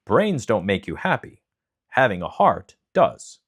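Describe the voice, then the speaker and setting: A man voicing a gentle male tin woodman in a raised, reassuring tone. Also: on the low side, very animated, a little slow, husky, heavily acted.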